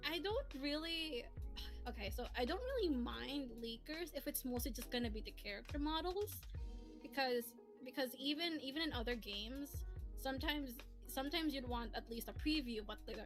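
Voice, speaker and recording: A woman's voice: sits high, animated, normal speed. A woman hosting a casual stream, chatting and giggling with lots of fillers. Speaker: streamer and host. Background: faint lofi music.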